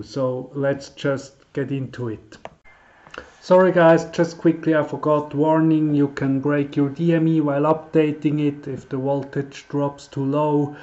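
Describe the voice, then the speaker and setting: Man, mid-pitched, English, informal how-to host with lots of ums, on a dull lo-fi recording. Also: a little mellow. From Switzerland. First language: German. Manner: halting and informal, many fillers and restarts, non-native phrasing. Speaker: YouTube car tutorial host. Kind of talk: casual tutorial talk to camera.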